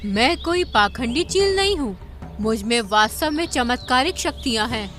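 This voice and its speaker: A woman's voice: on the high side, animated, normal speed, a bit bright, soft background music. A woman voicing a solemn, mysterious magical eagle in a cartoon, proud and admonishing. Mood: solemn warning.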